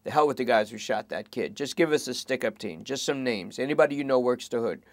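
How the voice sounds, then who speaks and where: A man's voice: mid-range voice, fast, dry recording, voice pushed up, natural voice. A man voicing a tired, dryly coaxing police detective during a late-night interrogation. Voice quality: dry, coaxing. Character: weary, wry middle-aged male police detective.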